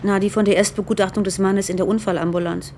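A woman's voice: mid-range voice, fairly even intonation, fast, darkish tone, slight background noise, natural voice. Actress as policewoman for radio drama: sober, reflective, mid-range voice, matter-of-fact. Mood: thoughtful, dry. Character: thoughtful, matter-of-fact female police investigator.

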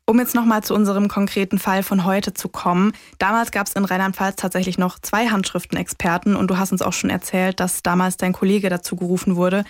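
A woman's voice: mid-range voice, level tone, fairly quick, hardly any pauses, dry recording, studio quality. A woman co-hosting a podcast, putting conversational questions to a guest. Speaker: podcast co-host, interviewer. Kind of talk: conversational interview moderation.

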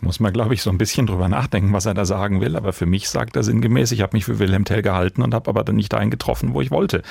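Man with a very creaky, low voice. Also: rapid-fire, a little mellow, no echo. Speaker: podcast host. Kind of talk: conversational true crime podcast discussion.

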